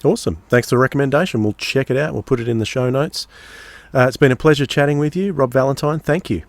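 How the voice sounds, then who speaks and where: A man hosting a podcast in a casual, measured way, pausing mid-phrase. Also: mid-range voice, dry recording. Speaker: podcast host.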